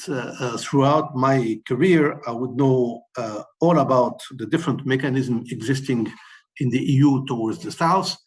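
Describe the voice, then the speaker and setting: A man from Morocco speaking as a webinar panelist, setting out his points in a measured way with many fillers and restarts. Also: mid-range voice. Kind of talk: policy talk, numbered key messages.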